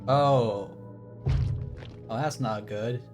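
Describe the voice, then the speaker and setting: A man's voice: mid-range voice, extremely slow. A man streaming a game with casual, rambling commentary, wry and casually profane. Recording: stream with game music behind.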